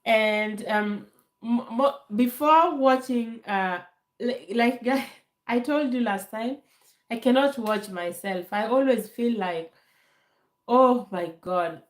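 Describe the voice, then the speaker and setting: Woman from Kenya, live Q&A host, calm and unhurried, post-cold voice, phone audio in an echoey room. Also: a little high. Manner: calm, slow, laughs often, many fillers. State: recovering from a bad cold. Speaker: livestream host. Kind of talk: casual live Q&A chat. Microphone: phone held to camera.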